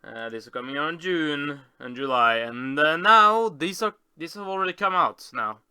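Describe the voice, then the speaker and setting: A man giving a casual commentary as a video host, rambling and often halting to correct himself. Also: fairly high pitch, expressive, pushed and bright, smooth, no echo, studio quality. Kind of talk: casual screen-tour commentary.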